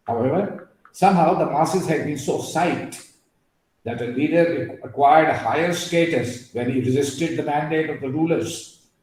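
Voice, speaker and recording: A man giving an earnest, emphatic webinar talk, drifting into asides and filling pauses with "uh". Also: mid-range voice, darkish tone. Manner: emphatic, digressive, with frequent fillers. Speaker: guest speaker on a webinar. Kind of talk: earnest lecture with personal asides. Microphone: video call. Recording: very echoey room over a call.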